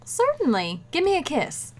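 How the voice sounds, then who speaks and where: A woman's voice: sits high, huge pitch swings, normal speed, darkish tone, a little hiss or hum. A woman playing a playful, nagging housewife in a 1940s-style radio comedy, with teasing, pointed delivery.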